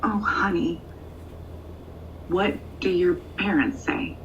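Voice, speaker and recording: Middle-aged mom on the phone, unhurried, lowish, tender and hesitant, dull distant line. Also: female voice, fairly even intonation. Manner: hesitant, motherly. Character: tender, eager, unpretentious middle-aged birth mother on a phone call. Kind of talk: naturalistic audio drama acting. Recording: distant muffled phone, faint noise.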